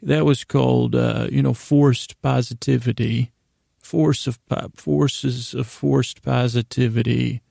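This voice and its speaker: A man hosting a bedtime podcast in a gravelly voice, rambling in a halting, self-deprecating way that trails off mid-sentence to lull listeners to sleep. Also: medium pitch, steady tone, mellow, no echo, clean studio sound. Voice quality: gravelly, creaky. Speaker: podcast host.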